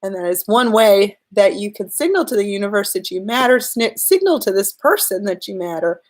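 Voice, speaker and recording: A woman's voice: medium pitch, sing-song, a little fast. A woman speaking with a slight cold, her voice a little off from it. She gives earnest, direct advice straight to the listener in a live stream, leaning on the key words for emphasis. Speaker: relationship and law-of-attraction coach.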